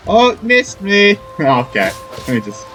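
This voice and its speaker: A man's voice: high voice, wildly swooping pitch, takes it slowly, pushed and bright, soft background music. A man giving casual let's play commentary, reactive and playful, often repeating short phrases. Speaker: gaming video creator.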